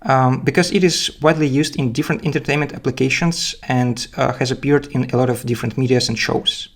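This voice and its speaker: A man with a Belarusian accent giving technical explanations as a guest speaker, haltingly, often repeating words. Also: mid-range voice, steady tone. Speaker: guest speaker, data scientist. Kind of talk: technical presentation and interview answers.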